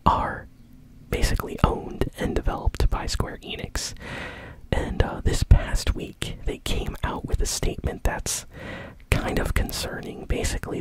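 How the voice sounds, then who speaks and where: Constant hushed ASMR whisper. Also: rough recording. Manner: casual, informal phrasing. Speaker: gaming news host. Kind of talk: ASMR whispered gaming news.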